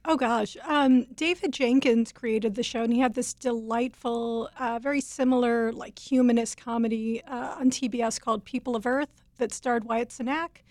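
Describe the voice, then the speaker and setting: A woman's voice: on the high side, fairly even intonation, normal speed, few pauses, darkish tone, dry recording. A woman TV critic on a radio panel, talking with enthusiasm and lots of fillers. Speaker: TV editor, panel guest. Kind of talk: casual panel chat.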